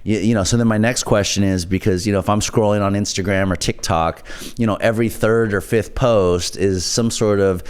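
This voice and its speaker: Very deep, smooth male interview host. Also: fairly even intonation, brisk. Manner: roundabout, checks in with "right?". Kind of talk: casual interview chat.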